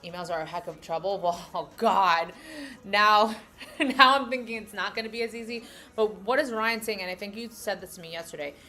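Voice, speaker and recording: A woman's voice: mid-range voice, fairly quick, bright, forceful tone, soft background music. A young adult woman from New York with a New York accent, chatting casually with live-stream viewers and thinking aloud as she reads their comments. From New York City. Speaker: livestream host.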